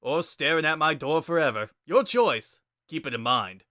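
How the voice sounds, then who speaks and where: Man acting out a laid-back joker, sardonic, casual drawl, bright tone, close dry sound, storybook reading. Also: on the high side, animated, moderate pace, no echo, voice pushed up. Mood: wry, sardonic. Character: laid-back, wry male joker with a weary edge. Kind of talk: dramatic reading of fan fiction.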